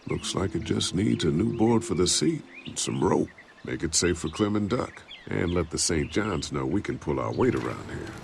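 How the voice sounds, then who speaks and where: An actor playing a steady, earnest survivor in a video game, speaking in a measured, protective way. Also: male voice, low voice, vocal fry, a little hiss or hum. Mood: helpful, earnest.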